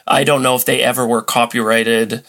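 A man's voice: mid-range voice, flat delivery, normal speed, bright, forceful tone. A man talking casually as a podcast guest. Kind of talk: casual chat.

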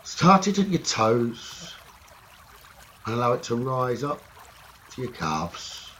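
A man leading a guided meditation slowly and soothingly, in short phrases with long pauses. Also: mid-range voice, very animated, fairly bright tone. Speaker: meditation teacher. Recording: running stream water noise behind voice. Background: trickling stream water ambience.

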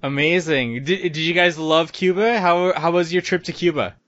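A man's voice: a little high, normal speed, a bit bright, dry recording, highs cut off. A man hosting a travel podcast, reading a scripted intro and then asking interview questions with upbeat energy. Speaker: podcast host.

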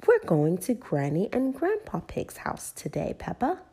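A woman voicing a gentle grown-up mother pig in a children's storybook, speaking in a soft, placating way. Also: medium pitch, huge pitch swings, a little slow, vocal fry, breathy edge, exaggerated voice. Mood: sleepy, placating.

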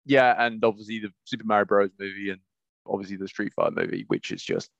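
A man's voice: on the low side, wildly swooping pitch, quick pace, gravelly, dry recording, highs cut off. A man chatting casually as a podcast co-host, rambling and jumping between thoughts. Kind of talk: casual chat about video game movies.

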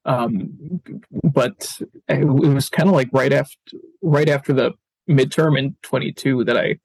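A man chatting as a podcast guest in a halting, stammering way. His voice is low-keyed in energy, rough with fry and husky at the edges. The sound is dry, with little room around it. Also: no echo, low-quality recording. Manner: halting, stammering, repeats words. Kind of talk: casual interview talk. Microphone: video call.

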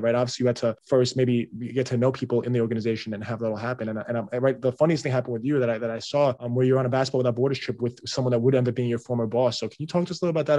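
A man's voice: mid-range voice, warm tone, no room sound. A man hosting a casual interview, chatty and asking long, wordy run-on questions. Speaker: show host, interviewer.

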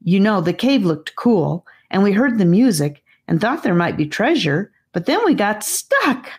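A woman's voice: medium pitch, sing-song, a little slow, a little mellow, slightly rough, voice pushed up. A woman voicing an earnest, talkative young boy in a storybook reading. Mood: earnest, explaining. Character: earnest young boy, talkative older kid explaining himself.

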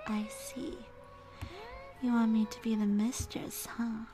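Woman acting a slow, whispery yandere wolf girl. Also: a little high, wildly swooping pitch, over music, heavily acted. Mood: teasing, flattered. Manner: breathy whispering mixed with soft speech, teasing, giggly. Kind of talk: ASMR roleplay, intimate address to listener.

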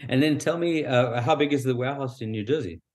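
A man's voice: medium pitch, darkish tone. South African man hosting an interview. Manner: brisk, frequent short affirmations. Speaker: podcast host. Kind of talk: interview questions.

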